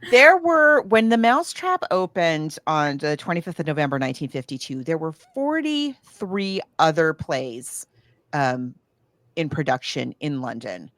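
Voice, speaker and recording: A woman in a casual book and film discussion, her slightly low voice swinging widely in pitch. She recounts things in an animated, halting way, searching for details as she goes. The sound is dry, with very little room. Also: no room sound.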